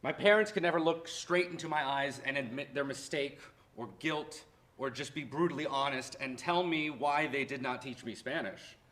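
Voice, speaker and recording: A wistful history professor, acted by a man, speaks in a theatrical monologue. He is reflective and deliberate, with a mid-range male voice. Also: mid-range voice, a bit bright, close to an everyday voice. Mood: wistful, earnest. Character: wistful college history professor reminiscing on lost parents. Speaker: actor in a staged reading. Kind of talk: theatrical monologue in a staged reading.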